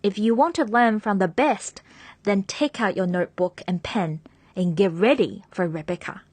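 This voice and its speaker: A woman's voice: medium pitch, moderate pace, darkish tone, no room sound. A woman hosting a podcast, reading an upbeat introduction and asking her guest questions. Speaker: podcast host.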